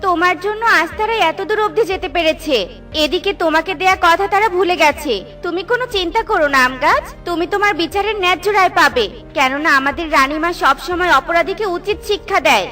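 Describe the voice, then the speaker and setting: Woman voicing Tuni, a high-voiced kindly bird. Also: quick pace, sharp tone, faint music behind. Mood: resolute, reassuring. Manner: caring, reassuring, firm. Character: kind, righteous little female bird Tuni. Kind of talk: cartoon storybook acting.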